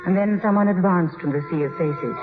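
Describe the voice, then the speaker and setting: An actress playing a shy, earnest and anxious young bride in a 1950s radio drama. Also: female voice, fairly low pitch, a little fast, phone-quality sound, close to an everyday voice. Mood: wistful, haunted. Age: young adult. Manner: hesitant, wistful, anxious. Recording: band-limited old radio with loud music.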